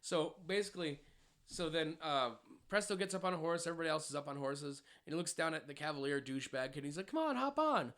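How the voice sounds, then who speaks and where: A man's voice: fairly high pitch, steady tone, quick pace, fairly bright tone. A man co-hosting a podcast, recapping a cartoon in a joking, profane way. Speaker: podcast co-host. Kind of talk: casual chat.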